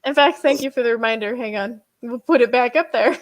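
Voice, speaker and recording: A woman's voice: fairly high pitch, fairly quick. Woman, live stream host, casual chat, laughs a lot, loose and rambling. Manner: chatty, laughs often, many fillers.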